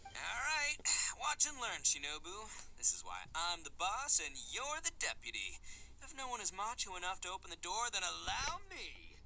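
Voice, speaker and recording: A brash demon gang boss from a video game. He is bombastic and easily indignant, with a piercingly bright voice and lively swoops in pitch. A faint layer of noise sits behind him. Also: moderate pace, exaggerated voice, poor audio. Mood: cocky. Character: brash, boastful male oni gang boss. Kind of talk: animated video game voice acting.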